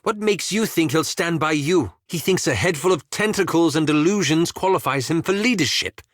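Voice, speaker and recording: A man's voice: a little high, animated, normal speed, no room sound, natural voice. A man playing a cautious, bookish wizard companion in a fantasy video game, speaking formally and politely with dry, fussy reproach. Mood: wary, disapproving. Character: refined, bookish, cautious male wizard companion, easily exasperated.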